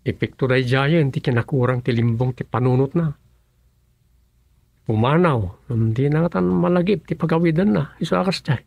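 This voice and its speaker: A man's voice: mid-range voice, normal speed, gravelly, darkish tone, no echo, dull audio. An actor with an Ilocano accent playing a grumbling, sleepy village councilman in a radio comedy-drama. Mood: matter-of-fact.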